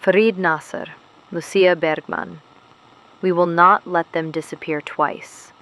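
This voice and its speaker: A woman playing the principled host of a clandestine resistance radio show, speaking in a grave, measured, deliberate way. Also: lowish voice, fairly even intonation, a bit bright, rough recording. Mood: grave, sombre. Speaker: host of an underground resistance broadcast. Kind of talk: scripted audio drama monologue.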